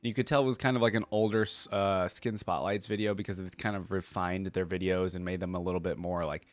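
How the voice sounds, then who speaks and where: A man's voice: low-pitched, steady tone, brisk, no echo. A man hosting a reaction video, commenting casually in short, laid-back remarks as he muses aloud.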